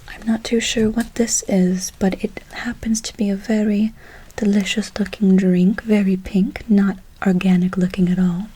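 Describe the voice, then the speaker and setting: A woman playing a kindly, mysterious forest witch in a slow, breathy whisper, for an ASMR role play with soothing repeated words and quaint phrasing. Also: mid-range voice, warm tone, rough recording. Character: kindly, mysterious female forest witch casting good-luck spells.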